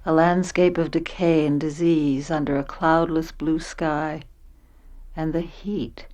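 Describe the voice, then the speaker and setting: Middle-aged american mom in a radio play, really low voice, measured and reflective. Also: female voice, flat delivery, a little mellow. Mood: brooding, reflective. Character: reflective American wife and mother of forty-one, recalling a fateful trip. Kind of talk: radio drama narration and dialogue.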